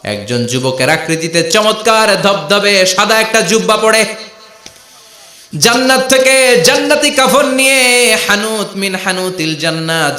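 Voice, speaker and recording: An echo-laden sermon delivered by a male Islamic preacher. His voice is fairly high and very forceful, swinging up and down in a declamatory cadence. He half-chants quoted phrases and presses his listeners for responses. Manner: declamatory, rising and falling cadence, calls for audience responses. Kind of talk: fervent Bengali-style waz sermon with chanted Arabic quotations. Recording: echoing loudspeaker sermon sound.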